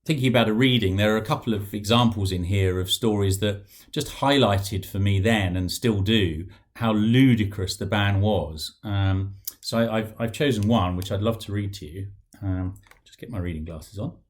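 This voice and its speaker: A middle-aged man with a British accent, an author reading aloud from his non-fiction book in an articulate, deliberate and formal way. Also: on the low side.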